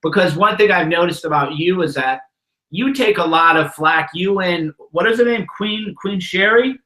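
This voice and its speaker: A man's voice: high-pitched, moderate pace, sharp tone, echoey room, rough recording. A man hosting a casual interview, asking questions and giving his frank take with frequent restarts. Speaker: host of call-in show.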